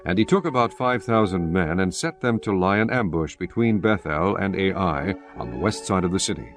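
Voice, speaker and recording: A man narrating scripture in a measured, solemn, formal way. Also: low-pitched, animated, soft background music.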